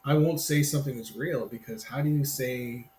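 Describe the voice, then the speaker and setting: A man's voice: medium pitch, normal speed, darkish tone. A man in his fifties, a podcast guest chatting casually in a rambling, self-interrupting, friendly way. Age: 50s. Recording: roomy sound with faint ambient noise.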